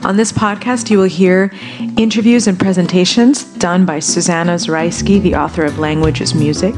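A woman reading a scripted podcast introduction in a steady, announcer-like way. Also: lowish voice, normal speed. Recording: spoken over clear guitar music.

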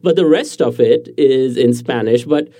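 A man's voice: mid-range voice, moderate pace, warm tone. A man hosting a radio interview, asking curious questions and chuckling now and then. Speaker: radio host.

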